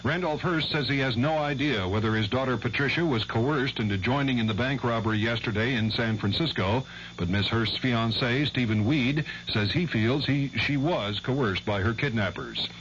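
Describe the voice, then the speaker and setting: A man's voice: mid-range voice, moderate pace, fairly bright tone. An American man anchoring a scripted network radio newscast. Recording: dull 1970s radio broadcast, faint hum.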